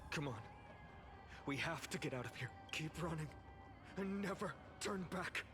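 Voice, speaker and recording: Solemn, slow-spoken young hero. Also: male voice, a little high, expressive. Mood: urgent. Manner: earnest, measured, dramatic. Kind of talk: scripted game voice acting. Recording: music behind the voice.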